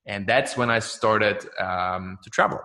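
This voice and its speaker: A young man from Austria whose first language is German, speaking as a podcast guest in a chatty, energetic way and often tagging "right?" onto his points. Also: fairly low pitch, measured pace. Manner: energetic, chatty, frequent "right?" tags and laughs. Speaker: guest, marketing founder. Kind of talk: casual podcast interview.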